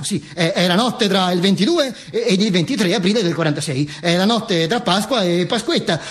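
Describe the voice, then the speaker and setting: A high-pitched male voice in a stage monologue, a dead dictator recounting his own afterlife. He stammers, corrects himself and repeats phrases, then slips into slow, mock-heroic declamation. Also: a bit bright. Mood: mock-solemn storytelling. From Italy. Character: dead Benito Mussolini narrating from the grave, rambling and mock-heroic. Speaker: stage actor performing a monologue. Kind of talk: theatrical storytelling monologue.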